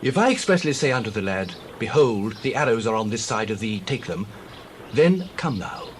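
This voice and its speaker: An actor playing a loyal, earnest prince in a Bible audio drama, speaking in solemn, emphatic, archaic oratory. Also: male voice, fairly low pitch, very animated, moderate pace, slight background noise. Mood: earnest, measured. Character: loyal, earnest prince, devoted sworn friend.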